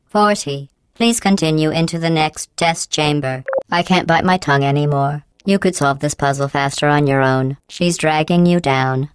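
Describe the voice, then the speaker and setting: Game role: a passive-aggressive female computer overseer, low and very clean, robotic processing, monotone announcer menace. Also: moderate pace, smooth. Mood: deadpan. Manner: deadpan, mock-polite, monotone announcer delivery. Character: cold, passive-aggressive female AI running a test facility. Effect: synthetic robotic voice processing. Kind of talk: video game voice acting.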